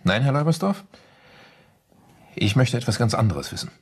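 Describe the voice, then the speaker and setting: An actor playing a jealous, stubborn husband in a German radio play, insistent and excitable as he interrogates. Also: mid-range voice, moderate pace, over loud music. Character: jealous husband, agitated, badgering, stubborn client.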